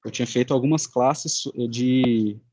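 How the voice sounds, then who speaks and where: A young man with a Brazilian Portuguese accent, giving an informal student presentation in a halting, colloquial way. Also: mid-range voice, dark tone, no room sound. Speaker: student presenting a final project.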